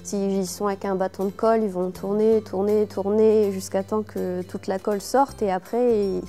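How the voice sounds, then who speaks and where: Mellow-voiced woman explaining, hesitantly. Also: mid-range voice, steady tone, normal speed. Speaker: educator. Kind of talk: informal interview explanation. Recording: faint music under the voice.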